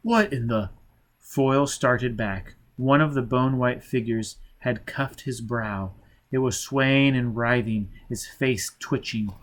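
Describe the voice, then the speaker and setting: A man's voice: medium pitch, steady tone, slow pace. A man reading a novel aloud as dramatic scripted narration. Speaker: audiobook reader.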